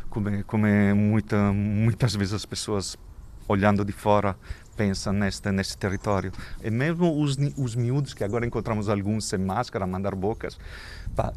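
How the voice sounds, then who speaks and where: Italian guy, lowish voice, stops and restarts a lot, outdoors with faint noise behind. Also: darkish tone. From Italy. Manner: halting, restarts often, thinking aloud. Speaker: community educator. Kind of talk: street interview. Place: outdoors in a residential neighbourhood.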